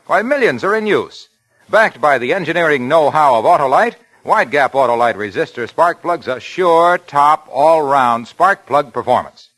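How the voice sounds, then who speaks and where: A man playing a peppy, emphatic American pitchman in a vintage radio commercial. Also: animated, normal speed, a bit bright, faint music behind, low-quality recording. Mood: upbeat. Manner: emphatic, salesy. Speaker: commercial announcer. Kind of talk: 1950s radio advertising.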